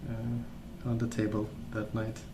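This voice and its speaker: A man speaking softly as an ASMR host, rambling through stories in a hesitant, pausing, unhurried way. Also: on the low side, completely flat delivery, background noise. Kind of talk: soft-spoken ASMR rambling.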